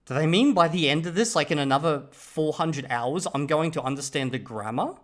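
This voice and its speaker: Exasperated vlogger man, rather high voice. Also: fairly quick. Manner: animated, exasperated ranting with swearing; translates clips aloud line by line. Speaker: language-learning vlogger. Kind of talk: casual commentary reacting to clips.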